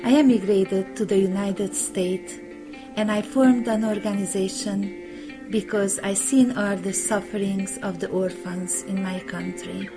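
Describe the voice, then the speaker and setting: A woman with a Romanian accent speaks slowly and carefully, her grammar showing she learned the language later. She makes a plain appeal for her charity. Guitar music plays clearly beneath her voice. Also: medium pitch, fairly even intonation, rough recording. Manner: slow, careful, non-native grammar. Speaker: charity founder making an appeal. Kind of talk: scripted donation appeal. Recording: music playing under the voice.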